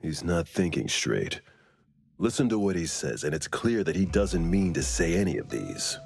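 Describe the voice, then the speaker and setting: An actor playing a gruff, watchful male companion in a video game, with a gravelly voice. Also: low voice, big pitch swings, normal speed, a little mellow, heavily acted. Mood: concerned. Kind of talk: English video game voice acting.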